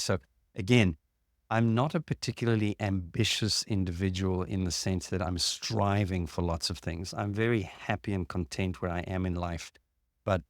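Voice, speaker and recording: A man hosting a podcast and explaining things in a measured, casual way, with frequent ums and uhs. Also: low voice, dry recording, clean studio sound. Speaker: podcast host and clinician.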